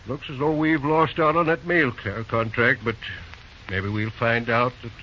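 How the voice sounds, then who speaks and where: An actor playing an older, weary but trusting railroad superintendent in a radio drama, with a hesitant, homespun rural manner of speaking. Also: male voice, mid-range voice, fairly even intonation, natural voice. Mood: gentle, regretful. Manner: hesitant, homespun, slow to judge. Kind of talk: early radio drama acting. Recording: muffled, noisy old-time radio recording.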